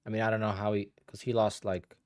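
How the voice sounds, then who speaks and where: A man with a low, easygoing voice talks loosely to his live viewers, starting over and wandering as he thinks out loud. He is from Poland and in his thirties. The sound is close and dry, with no room around it. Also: fast, no room sound. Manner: relaxed, rambling, thinks aloud with restarts. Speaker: day trader streaming live. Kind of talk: casual livestream chat answering viewers.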